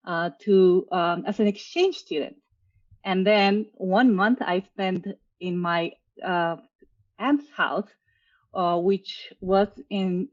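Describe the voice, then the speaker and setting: Woman from Peru, lo-fi call audio. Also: on the low side. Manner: relaxed storytelling with frequent fillers. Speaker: guest, travel agency owner. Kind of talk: casual interview talk.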